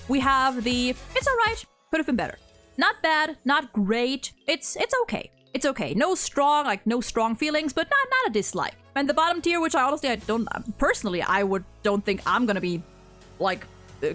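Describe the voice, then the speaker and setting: High-voiced woman chatting casually over music. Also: lively intonation, a bit bright. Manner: rambling, thinks aloud in halting phrases. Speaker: host of a video game ranking video. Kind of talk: casual unscripted chat, opinion commentary.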